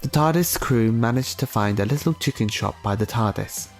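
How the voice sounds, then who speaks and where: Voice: medium pitch, normal speed, a little mellow, soft background music. An audiobook narrator reading a scripted story in a dramatic style.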